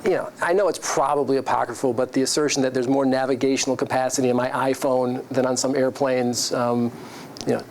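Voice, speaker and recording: A man moderates a live discussion in a medium-pitched voice. He is informal and conversational, restarting a little as he builds his question. Slight noise hangs in the background. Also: gravelly.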